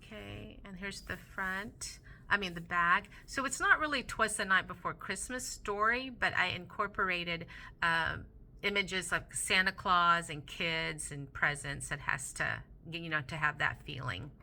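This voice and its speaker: A woman hosting a casual crafting vlog, chatty and rambling with many fillers, a little hoarse from losing her voice. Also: on the low side, a little slow. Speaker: crafting channel host. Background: soft Christmas music.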